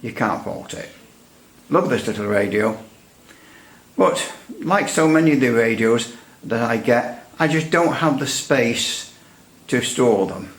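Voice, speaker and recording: A man giving a casual hands-on equipment demonstration as a hobbyist, thinking aloud in a halting way. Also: medium pitch, expressive. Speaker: radio repair hobbyist presenter. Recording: echoey room.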